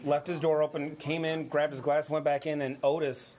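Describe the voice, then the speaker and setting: An American man chatting casually as a show co-host, jokey and quick to chuckle. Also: fairly high pitch, flat delivery, a little mellow. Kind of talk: casual banter on a talk show.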